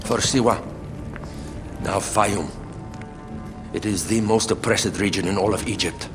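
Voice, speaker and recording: A man performs a solemn conspirator and royal adviser in a game cutscene. His voice sits low and moves in measured, dramatic phrases. Music runs clearly behind him. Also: long reverb tail, close to an everyday voice. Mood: urgent warning. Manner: grave, measured, dramatic exposition. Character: solemn male conspirator advising an exiled Egyptian queen. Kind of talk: scripted video game cutscene acting.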